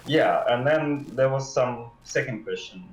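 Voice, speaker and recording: A man being interviewed as a game developer on a casual livestream, speaking in halting, hesitant phrases. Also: mid-range voice, animated. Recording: dull, roomy sound.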